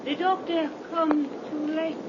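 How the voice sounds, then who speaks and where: A spooky femme fatale, very high voice, scratchy old radio drama. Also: female voice, fairly even intonation, unhurried. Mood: grave. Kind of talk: 1930s radio horror acting. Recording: scratchy, noisy, muffled 1930s radio recording.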